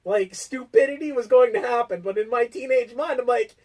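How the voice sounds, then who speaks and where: A man's voice: very high-pitched, a little fast, heavy background noise. An American man in his early thirties from Connecticut, co-hosting and telling stories in a casual chat. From Connecticut, United States. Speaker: co-host. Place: basement room. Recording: voice somewhat distant from the mic.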